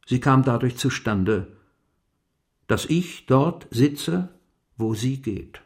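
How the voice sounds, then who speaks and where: Radio play: analytical narrator, deliberate and precise, slow delivery, middle register. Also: male voice, medium pitch, lively intonation. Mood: reflective, analytical. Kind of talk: scripted radio play monologue.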